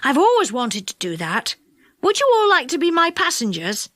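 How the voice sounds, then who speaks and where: An adventurous young storybook boy, played by a woman, bursting with eagerness. The voice is fairly high and lively, full of exclamations, in a dry recording. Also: moderate pace, no echo, exaggerated voice. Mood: eager. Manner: excited, exclamatory. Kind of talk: children's storybook character reading.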